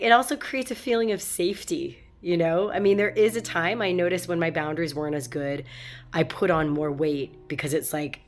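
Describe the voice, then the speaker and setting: A woman with a head cold speaking frankly and casually to her viewers, with many fillers and restarts. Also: low voice. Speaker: vlogger. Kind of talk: casual talk to camera.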